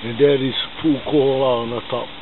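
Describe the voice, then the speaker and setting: A man's voice: mid-range voice, steady tone, brisk. A man with a Hawaiian local accent, chatting casually as he shows places around him from inside a car. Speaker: vlogger. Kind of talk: casual chat while touring by car. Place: inside a car outdoors by the shore. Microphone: handheld camera in the car. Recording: tinny, band-limited phone video with loud car noise.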